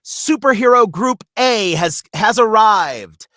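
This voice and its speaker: Man putting on a high, grandiose cartoon supervillainess. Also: expressive, slow, bright, forceful tone, no echo, studio quality, heavily acted. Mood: triumphant. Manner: grandiose, theatrical. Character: triumphant kooky female mad-scientist supervillain, preschool cartoon.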